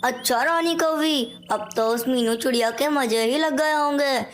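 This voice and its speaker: A woman plays a greedy male crow in a children's cartoon. The voice is very high, with the envy of an idle schemer. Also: normal speed, natural voice. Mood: envious. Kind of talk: Hindi cartoon voice acting.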